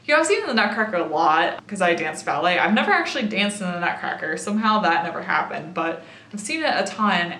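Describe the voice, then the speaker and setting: A young woman vlogger in a chatty makeup haul. Her voice is slightly raspy and tired after a late night, and she thinks aloud while she applies makeup. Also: lowish voice, fairly bright tone, roomy sound, a little hiss or hum. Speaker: beauty vlogger. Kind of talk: casual makeup haul and try-on.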